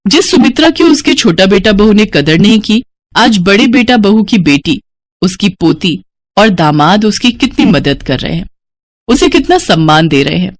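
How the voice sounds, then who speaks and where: A woman's voice: medium pitch, sing-song, normal speed, dry recording. A woman storyteller delivering scripted narration for a moral bedtime story.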